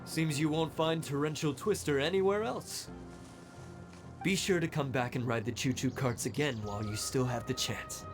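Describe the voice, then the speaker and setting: A man's voice: mid-range voice, moderate pace, music in the background, lightly acted. A man voicing a friendly, chatty cart ride operator in a video game, with an inviting manner. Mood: friendly, curious. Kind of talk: English video game voice acting.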